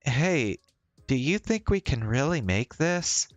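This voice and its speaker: A man voicing a quirky, dorky young woman with dark humor, playful and casual, in a visual novel. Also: mid-range voice, very animated, takes it slowly, no echo, dull audio, soft background music, voice pushed up. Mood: playfully worried.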